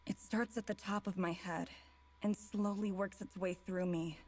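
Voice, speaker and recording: Mid-pitched woman reading a story aloud. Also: steady tone, normal speed, a little mellow. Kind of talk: reading visual novel dialogue and narration aloud.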